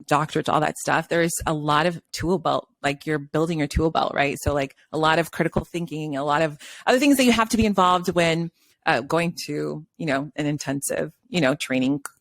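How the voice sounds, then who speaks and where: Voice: mid-range voice, fairly quick, creaky, fairly bright tone, no room sound. A podcast host from Brazil with a light Brazilian accent, chatting upbeat and gushing with praise in a casual interview.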